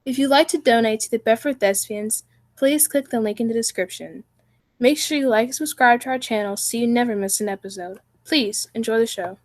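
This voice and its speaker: A woman's voice: fairly high pitch, fairly quick. A woman reading scripted announcements for a radio show.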